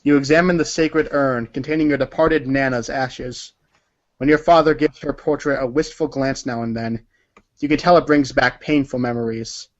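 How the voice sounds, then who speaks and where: A man's voice: medium pitch, fairly even intonation, normal speed, lo-fi sound. A man narrating a story aloud in a theatrical, dramatic and emphatic manner. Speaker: narrator of a group reading.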